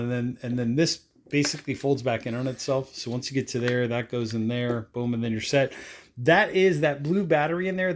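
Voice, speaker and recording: A man with a mid-pitched voice talks through a product demo as if chatting off the cuff. He rambles in an offhand, casual way, dropping in little tag questions and stock phrases as he goes. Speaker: product reviewer. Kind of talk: casual unscripted product demonstration.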